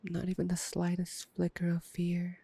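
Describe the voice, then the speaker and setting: A man playing a taunting, playful, predatory vampire in an intimate ASMR roleplay, teasing and drawling with soft menace. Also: high voice, monotone, normal speed, vocal fry, breathy edge, dry recording, heavily acted. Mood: taunting, menacing.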